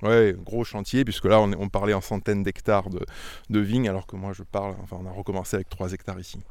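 A man's voice: low voice, level tone, fast, a little mellow, no echo. A middle-aged man from Auvergne, a winemaker explaining his vineyards in a casual interview, thoughtful and often repeating words as he goes. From Auvergne, France. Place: outdoors among vineyards.

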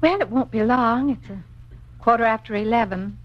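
A woman's voice: a little high, moderate pace, lightly acted. A woman playing a playful, scheming wife in theatrical, chatty 1950s radio comedy style. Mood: coy, wistful. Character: scheming playful wife, posing as brash lowbrow nightclub singer. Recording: muffled noisy 1950s radio broadcast.

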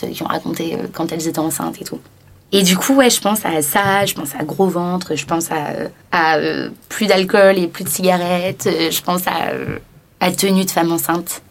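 A woman's voice: on the low side, fairly even intonation, normal speed. A woman in her twenties giving an enthusiastic, casual personal testimony as an interviewee. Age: 20s.

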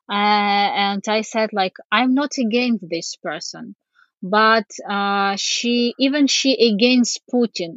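A woman with a Ukrainian accent, a foundation head giving earnest, halting interview answers with many fillers. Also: medium pitch, no room sound, dull audio. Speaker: foundation CEO, guest.

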